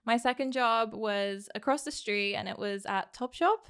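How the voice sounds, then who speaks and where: A woman in her twenties with an English accent, chatting as a podcast guest in a bubbly, animated way. Her voice sits a little high and sounds smooth and clear. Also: normal speed. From England, born in Poland. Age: 20s. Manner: chatty and animated, lots of "like" and "oh my God". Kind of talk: casual interview chat.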